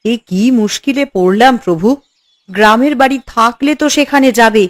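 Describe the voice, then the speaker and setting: A woman playing a fretful, scolding housewife and doting mother in a cartoon, exasperated and coaxing in an expressive way. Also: on the high side, moderate pace, dry recording, clean studio sound, natural voice. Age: adult. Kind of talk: cartoon voice acting.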